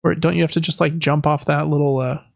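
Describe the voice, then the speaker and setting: A man's voice: a little high, flat delivery, fast, gravelly, dull audio. A man chatting casually as a podcast host. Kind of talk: casual chat about video games.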